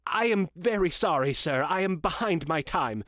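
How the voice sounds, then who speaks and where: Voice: medium pitch, expressive, moderate pace, a bit bright, dry recording, studio quality, lightly acted. A storybook reader voicing a meek male clerk, deferential and apologetic. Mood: nervous, apologetic. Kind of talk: dramatic storybook character reading.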